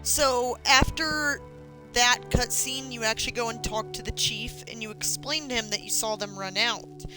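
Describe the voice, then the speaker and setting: A woman's voice: fairly high pitch, steady tone, normal speed, over music, poor audio. A woman streamer casually recapping a game story. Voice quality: casual, explaining.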